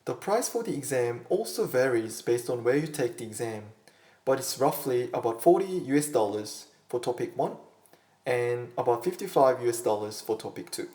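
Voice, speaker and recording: Man, teacher, steady explanatory delivery, roomy echo. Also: mid-range voice, moderate pace. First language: Korean. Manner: steady, explanatory, addresses viewers directly. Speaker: Korean language teacher. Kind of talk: scripted online lesson.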